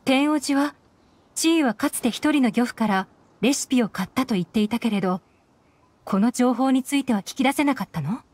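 An actress voicing a cool, shrewd young woman who runs an intelligence network in a video game, composed, deliberate and knowing. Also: on the high side, a little mellow, no room sound, close to an everyday voice. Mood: calm, assured. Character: cool, shrewd female intelligence operative and boss. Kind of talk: Japanese video game voice acting. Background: background game music.

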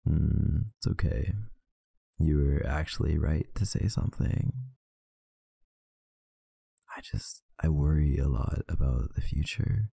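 A man with an extremely deep, gravelly voice murmurs slowly and tenderly into a close mic. His tone is dark and husky, and it sounds tired and affectionate. The sound is dry, with no room around it. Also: dry recording. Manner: soft, intimate, affectionate murmuring. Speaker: ASMR roleplay performer. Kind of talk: ASMR boyfriend roleplay.

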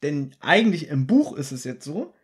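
Guy cohosting a podcast, kinda higher voice, casual story recap and banter. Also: big pitch swings, normal speed. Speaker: podcast co-host.

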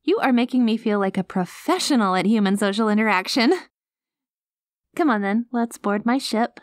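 Casting: woman as cute alien girl for ASMR roleplay, highish voice, naive, gentle, hesitant, close dry sound. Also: normal speed, dry recording, natural voice. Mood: happy, reassuring. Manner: naive, literal, hesitant, reassuring.

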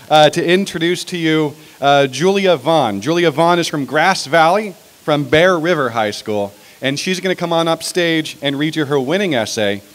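A man's voice: fairly high pitch, a bit bright. A middle-aged American man hosting an event, giving an informal introduction into a podium microphone outdoors. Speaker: event host. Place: outdoor podium on building steps. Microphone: stand microphone at podium.

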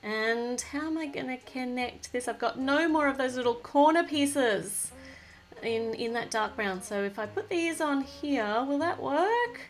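A woman's voice: high-pitched, expressive, a little slow. A woman casually narrating a toy build at a table, thinking aloud and gushing over the cute little details.

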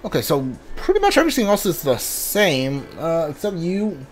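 A gamer giving casual, hesitant commentary on a playthrough, often muttering to themselves in a halting way. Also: mid-range voice, huge pitch swings. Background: video game music.

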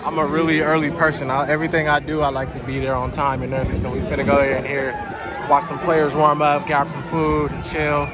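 A man's voice: a little high, fairly quick. Guy in his 30s vlogging on his phone at the stadium, chill and hyped, crowd roaring behind him, wind hitting the mic. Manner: laid-back, excited, slangy. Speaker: vlogger at a football game. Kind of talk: casual handheld vlog chat. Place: outdoor stadium plaza, then inside a big stadium. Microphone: phone camera at arm's length. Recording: loud crowd and music, wind noise.

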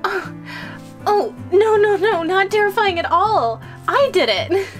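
High-voiced, nervous, obsessive giantess schoolgirl played by a woman. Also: big pitch swings, a little slow, soft background music, exaggerated voice. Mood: giddy, lovestruck. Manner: hesitant, stammering, giggly. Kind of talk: roleplay audio drama.

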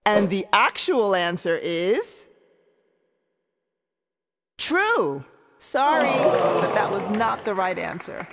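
A woman voicing a cheerful animated quiz show host, presenting in an encouraging, emphatic scripted style. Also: mid-range voice, slow pace, long pauses, full character voice. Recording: reverberant hall with clear crowd noise.

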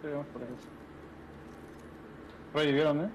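A man's voice: on the high side, fairly even intonation, moderate pace, dull audio, slight background noise. A man making terse, urgent tactical callouts to his team while playing a shooter game. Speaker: gamer streamer. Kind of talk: quick team callouts during play.

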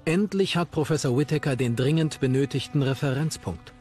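A man's voice: medium pitch, brisk, a little mellow, soft background music. A man reading scripted narration as the narrator of a true crime documentary.

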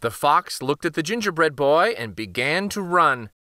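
Lively man reading a picture book aloud. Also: on the high side, dry recording, studio quality. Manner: expressive, deliberate storytelling. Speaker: children's book reader. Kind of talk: storybook read-aloud.

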